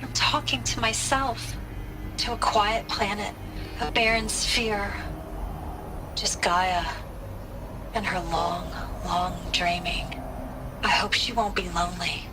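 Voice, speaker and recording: Actress as weary woman scientist, slow measured pace, brooding, literary monologue, game audio log, music behind. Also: medium pitch, steady tone, close to an everyday voice. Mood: somber, resigned. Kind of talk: scripted dramatic monologue.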